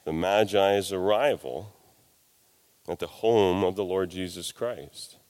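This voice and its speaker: A man's voice: deep voice, slow, darkish tone. A middle-aged male pastor with a head cold, preaching a sermon with folksy, self-deprecating humor and reading scripture aloud. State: congested from a cold and sinus infection.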